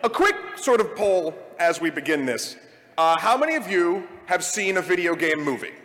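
Male panel host, high and bright, live on stage in a reverberant hall. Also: lively intonation, moderate pace. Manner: theatrical speech-giving opener. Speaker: podcast host.

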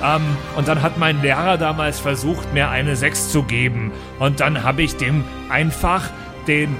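A man plays an eager young dwarf bragging in a fantasy audio play. He speaks in a fairly high voice, halting and circling back over his words, over clearly audible music. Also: steady tone, natural voice. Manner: halting, rambling, repeats himself. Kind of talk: improvised fantasy audio roleplay.

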